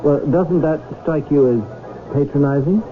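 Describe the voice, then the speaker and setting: An actor playing a pompous, effusive animal-loving celebrity lecturer, fussy and theatrical, in 1970s radio drama style. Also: male voice, on the high side, a little slow, close to an everyday voice. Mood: indignant, pompous. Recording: noisy, tinny old radio broadcast.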